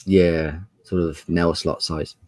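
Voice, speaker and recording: A man's voice: sits low, steady tone, takes it slowly, a little mellow, no room sound. A man narrating a tabletop role-playing game as game master, describing scenes and asking the players for rolls.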